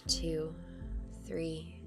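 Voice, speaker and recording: A woman with a low voice leads a guided meditation at a very slow pace. She is calm and soothing, leaving long, measured pauses between phrases. Music plays clearly behind her.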